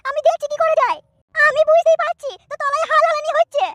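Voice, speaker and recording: An actor voicing a scheming, lustful middle-aged village woman in a cartoon, with a shrill, squeaky voice and fast, pleading chatter. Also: fairly even intonation, no echo. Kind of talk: adult cartoon comedy acting. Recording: loud background noise.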